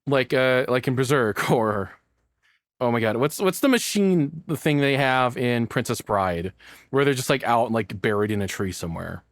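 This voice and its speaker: A man's voice: mid-range voice, normal speed, no echo, studio quality. A man giving casual, joking reaction commentary as a video host, rambling and swearing in a flippant way.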